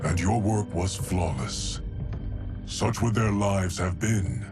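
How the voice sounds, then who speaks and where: A solemn ancient guardian of time, very deep voice, slow deliberate delivery, music underneath. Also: male voice. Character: ancient male guardian of time, calm, grave.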